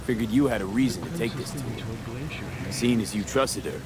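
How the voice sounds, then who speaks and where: An actor playing a hardened mercenary, calm, dry and guarded, in understated video game dialogue. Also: male voice, lowish voice, moderate pace, background noise, close to an everyday voice. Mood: guarded, defensive. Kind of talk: video game cutscene acting, naturalistic dialogue.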